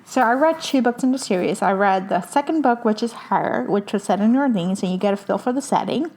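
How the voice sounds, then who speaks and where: A young woman from hosts a casual podcast, her Spanish. She talks eagerly, full of fillers and little restarts, at an ordinary mid pitch for a woman. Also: expressive, fairly quick, darkish tone. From Puerto Rico. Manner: enthusiastic, chatty, many fillers. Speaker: podcast host. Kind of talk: casual book recommendations.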